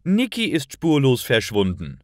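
A man's voice: medium pitch, wildly swooping pitch, measured pace, a little mellow, dry recording, clean studio sound, voice pushed up. A man voicing a worried, nervous mother in a storybook, anxious, emphatic and carefully articulated.

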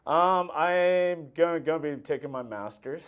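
A man's voice: on the high side, clear, resonant tone, narrow, tinny audio. A man in his thirties chatting casually as a comedian guest, stumbling over phrases and dropping dry jokes. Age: 30s. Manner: halting, restarts phrases, dry joking asides. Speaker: stand-up comedian, interview guest. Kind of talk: casual joking interview chat. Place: small indoor TV studio set. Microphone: lapel mic.

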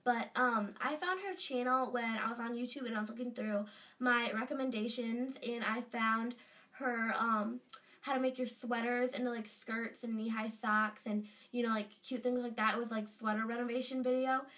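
A woman's voice: a little high, fairly even intonation, moderate pace, a bit bright, recorded from a distance. A teenage girl with a stuffy nose from a cold, gushing in a casual fan vlog from her bedroom. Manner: chatty and gushing, lots of fillers. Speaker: vlogger. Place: bedroom indoors. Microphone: webcam at desk distance.

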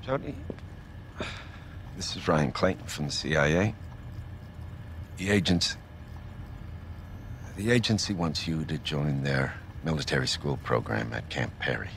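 A man playing a troubled middle-aged scientist in a video game drama, speaking softly and gravely. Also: a bass voice, unhurried, long pauses, slight background noise, full character voice. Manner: soft, grave, apologetic.

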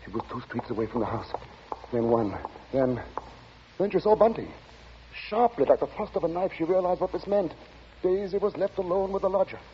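An actor narrating a 1940s radio suspense drama in a grave, dramatic manner. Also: male voice, sits high, lively intonation, brisk. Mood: tense. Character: grave storyteller of a suspense tale. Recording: dull, noisy 1940 radio recording.

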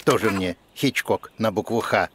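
A man acting a glum cartoon chameleon, an actor with demands. His voice is low and deadpan, grumbling fussily, with music behind it. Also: normal speed, lightly acted. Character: gloomy, fussy male chameleon actor. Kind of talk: animated children's show acting.

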